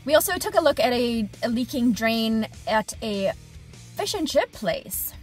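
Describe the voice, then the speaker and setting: A woman's voice: a little high, measured pace, soft background music. A woman in her thirties, an HVAC technician, talking casually and expressively to camera from the cab of her truck. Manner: animated, expressive, gesturing with hands. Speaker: HVAC technician and business owner. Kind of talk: casual vlog diary. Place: inside a parked truck cab. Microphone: camera on dashboard at arm's length.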